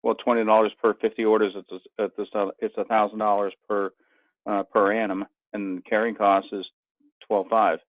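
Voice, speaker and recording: A man's voice: on the low side, flat delivery, normal speed, no room sound. A man speaking conversationally as a student on an online class call, with dull conference-call sound. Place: video conference.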